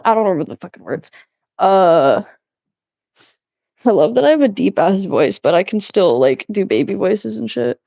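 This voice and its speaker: A woman's voice: medium pitch, very animated, moderate pace, gravelly, long pauses, dark tone, dry recording. A young adult woman streaming while she cleans, chatting loosely with her viewers, giggling and swearing often. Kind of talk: casual live-stream chat while cleaning. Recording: slightly muffled, dry sound.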